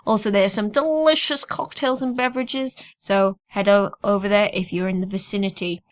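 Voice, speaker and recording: A woman's voice: mid-range voice, steady tone, normal speed. A woman hosting a podcast, chatting casually through notices and sign-offs. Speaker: podcast host.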